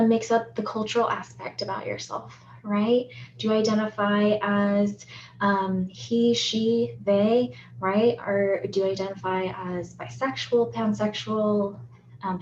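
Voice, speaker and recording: A woman psychologist from the United States talks through an educational lesson, steady and explanatory. She keeps checking in with the listener with a quick "right?" The recording sounds roomy and a little dull, with a faint hum underneath. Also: mid-range voice. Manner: explanatory, often ends points with "right?". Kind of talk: educational talk on relationships.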